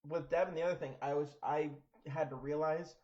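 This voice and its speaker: A man's voice: on the high side, steady tone, brisk, a little mellow. A man in his thirties in casual chat, blunt, joking and swearing freely. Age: 30s. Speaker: wrestler, podcast co-host. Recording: dull lo-fi sound.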